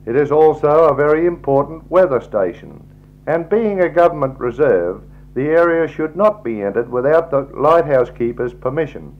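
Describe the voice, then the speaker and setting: A man with an Australian accent gives a measured, formal scripted travelogue narration in a 1960s style. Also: on the high side, animated. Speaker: slideshow narrator. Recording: dull, lo-fi 1960s tape recording.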